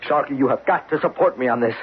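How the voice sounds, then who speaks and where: An American actor playing a stubborn, confident young geologist in a radio drama, speaking insistently. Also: male voice, medium pitch, fast, husky. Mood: desperate pleading. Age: young adult. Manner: insistent, cocksure. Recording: dull lo-fi old radio recording, faint noise.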